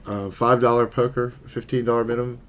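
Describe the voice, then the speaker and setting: A man's voice: medium pitch, level tone, brisk, fairly bright tone. A man co-hosting a casual chat show who is stuffed up, teasing his co-host and addressing listeners as folks. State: stuffed up, congested.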